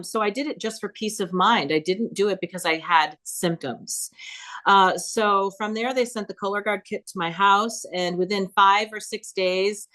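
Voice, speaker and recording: A woman's voice: lowish voice, fairly bright tone. A woman in her fifties speaking as a guest at a town health board meeting, giving an earnest personal account and quoting others along the way. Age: 50s. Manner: earnest, storytelling, quotes others in her own voice. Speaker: guest speaker, cancer survivor.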